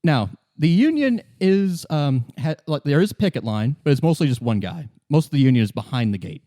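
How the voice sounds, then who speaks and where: A man's voice: on the high side, very animated, a little fast, warm tone, dry recording, clean studio sound. A man working as game master, narrating a tabletop story in a conversational, improvised way.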